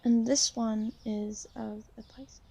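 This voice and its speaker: Shy, insecure young female vampire, played by a woman, for ASMR roleplay; mellow, creaky voice, halting and apologetic. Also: fairly high pitch, little pitch movement, exaggerated voice. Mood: gentle, fond. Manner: hesitant, halting, soft-spoken, apologetic. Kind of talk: ASMR roleplay, intimate one-sided conversation.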